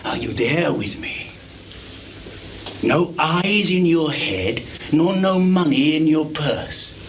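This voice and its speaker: An actor playing a mad, bitter old king in theatrical Shakespearean style, lurching between declamatory shouts and hushed mutters. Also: a little high, animated, slow pace. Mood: sly, taunting. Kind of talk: theatrical Shakespearean stage recitation. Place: theatre stage before a live performance audience. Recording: 1980s live theatre recording, background noise.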